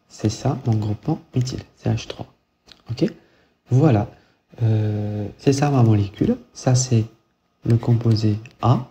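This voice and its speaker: Male chemistry teacher, middle-aged, very dark mellow voice, muffled lo-fi recording, casual lecturing with frequent check-ins. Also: fairly low pitch. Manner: thinks aloud with many fillers and restarts, checks in with "ok?". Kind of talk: whiteboard lecture. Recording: muffled lo-fi sound with faint hiss.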